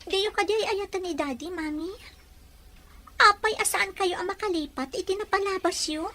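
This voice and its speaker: A woman's voice: extremely high pitch, normal speed, pushed and bright. A woman playing an earnest young adult daughter in an audio drama, with an Ilocano accent. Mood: pleading, worried. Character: earnest, pleading grown daughter, soon to marry.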